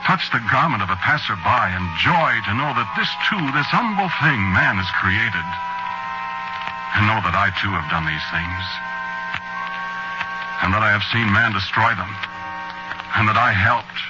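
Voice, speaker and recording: A male actor plays a grave reporter from a perished world, narrating a 1940s radio play. His deep voice is measured and oratorical. The old recording is muffled, with loud music behind it. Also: lively intonation, close to an everyday voice. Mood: solemn, mournful.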